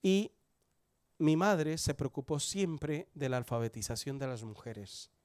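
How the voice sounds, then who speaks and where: A man's voice: a little high, lively intonation, measured pace, a little mellow, no echo, clean studio sound. Colombian man in his forties giving testimony. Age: 40s. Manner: conversational, asks the audience questions. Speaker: church member giving testimony. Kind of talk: testimony in a church service.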